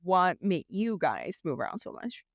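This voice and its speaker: Podcast host, curious questions, plain delivery, mid pitch, dry muffled recording. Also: huge pitch swings, normal speed, creaky, no room sound. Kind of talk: interview host asking questions.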